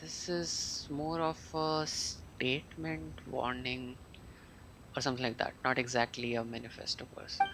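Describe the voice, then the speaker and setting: A young adult man giving casual live gameplay commentary as a streamer, halting and musing aloud. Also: mid-range voice, flat delivery, background noise. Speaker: game streamer. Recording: loud game music behind the voice. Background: video game music.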